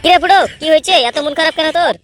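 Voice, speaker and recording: A woman voicing an excitable, blunt young villager in a comedy cartoon. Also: very high voice, racing, slight background noise. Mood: concerned, blunt.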